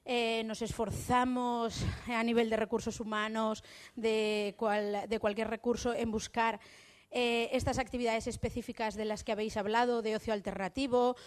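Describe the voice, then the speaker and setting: A woman's voice: fairly high pitch, little pitch movement, normal speed. A woman from youth services asking a rambling, hesitant question from the audience at a conference. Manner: rambling, hesitant with many fillers. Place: conference hall.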